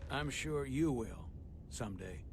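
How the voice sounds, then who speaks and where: An actor playing a stern, authoritative starship captain with bruised pride in a sci-fi game. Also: male voice, medium pitch, expressive, measured pace, noisy background. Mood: measured, reflective. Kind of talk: cinematic sci-fi game acting. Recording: dramatic music underneath.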